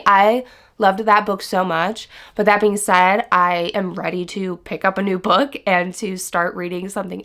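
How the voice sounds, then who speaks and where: A young woman talks straight to her viewers in a casual vlog. Her voice is stuffy and hoarse from being sick, but she stays chatty and upbeat. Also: medium pitch, a bit bright. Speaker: book vlogger. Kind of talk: casual vlog chat to camera.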